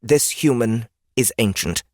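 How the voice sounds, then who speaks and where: A man voicing a female beast-folk elder in a fantasy audiobook, haughty and erudite, with clipped, precise diction and a deliberate manner. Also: mid-range voice, animated, dry recording, clean studio sound, pitched above the performer's own voice, natural voice. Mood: disdainful. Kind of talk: fantasy audiobook character acting.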